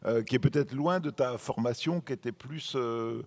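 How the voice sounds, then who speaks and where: Male moderator and film critic, average pitch, informal live interview delivery with hesitant phrasing. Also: moderate pace, a little mellow. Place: arts venue, live masterclass.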